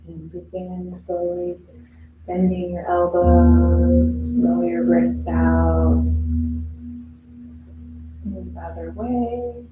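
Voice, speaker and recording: A woman yoga instructor leading a guided relaxation meditation in a soothing, unhurried, instructional way with long pauses. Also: lowish voice, steady tone, phone-quality sound, music in the background. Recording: very echoey room with noise.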